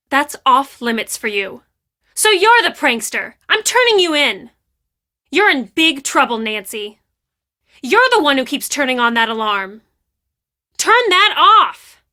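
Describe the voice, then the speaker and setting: A woman playing a brash, defensive teenage girl who works as a high school hall monitor in a video game, with a sassy, dismissive and emphatic delivery. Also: bright, forceful tone, studio quality, full character voice. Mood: stern, scolding. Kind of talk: acted video game dialogue.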